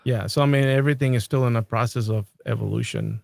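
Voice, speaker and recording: A man from Colombia speaks in an unhurried, thoughtful way in a casual podcast chat, his voice at an ordinary middle pitch. The sound is dry and close, with no room around it. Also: gravelly, a little mellow, dry recording. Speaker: podcast host. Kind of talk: casual conversation.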